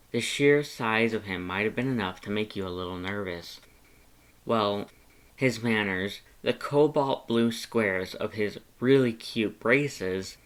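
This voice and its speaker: A man's voice: lowish voice, fairly bright tone. A man reading scripted second-person fan fiction as a storyteller.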